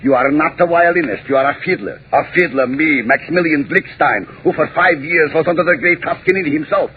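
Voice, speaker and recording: An actor playing a nervous, blustering orchestra violinist in a 1940s radio drama, stammering and excitable. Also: male voice, fairly high pitch, fairly quick, close to an everyday voice. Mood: indignant boasting. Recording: tinny, noisy 1940s radio recording.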